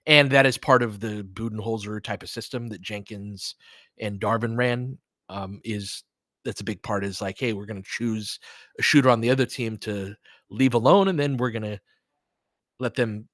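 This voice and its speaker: A man's voice: fairly low pitch, moderate pace, pushed and bright, dry recording. A man doing live audio play-by-play of basketball, mixing conversational analysis with replies to listeners as he thinks aloud. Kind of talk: live basketball commentary mixed with casual analysis and chat replies.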